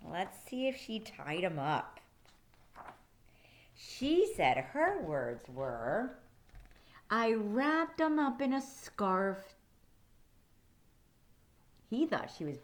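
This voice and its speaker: Woman reading aloud, lively and unhurried. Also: fairly low pitch. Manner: expressive, dramatic, dropping into hushed whispers. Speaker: storyteller reading a picture book to children. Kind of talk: animated read-aloud with teaching asides.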